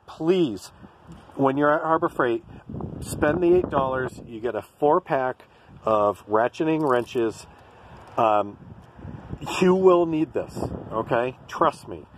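A man's voice: mid-range voice, a little hiss or hum. A man casually explaining trailer-building tips outdoors, chatty and folksy, filled with ums and okays, filmed on a handheld phone. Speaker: DIY video host. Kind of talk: casual how-to talk. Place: outdoors in a yard.

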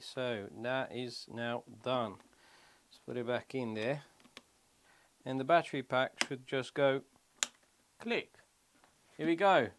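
Man, thirties, medium pitch, unhurried slow pace, casual vlogger, step-by-step explaining, short phrases. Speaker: motorcycle vlogger. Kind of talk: casual hands-on product walkthrough. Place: small wooden shed or garage room.

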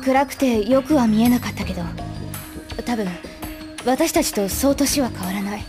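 Woman acting an earnest high-voiced teenage girl. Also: moderate pace, over music, close to an everyday voice. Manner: earnest, polite, grave. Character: serious, dutiful teenage girl agent, earnest and formal. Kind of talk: anime audio drama acting.

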